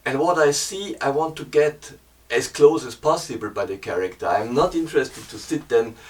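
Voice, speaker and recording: A man with a German accent, a German native speaker, casually explaining his photography in hesitant English, pausing often to find words. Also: mid-range voice, far from the mic.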